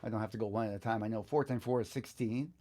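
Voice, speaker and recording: A middle-aged man giving a casual step-by-step tutorial, unhurried and informal, often thinking aloud as he works. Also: fairly low pitch, fairly even intonation, dark tone. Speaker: guitar teacher giving a software tutorial.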